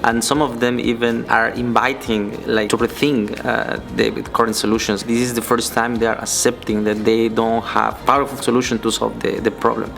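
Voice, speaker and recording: A man's voice: medium pitch, flat delivery, moderate pace, creaky, few pauses, a bit bright, faint music behind. A man hosting an interview series, speaking with many fillers and repeated words. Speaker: host.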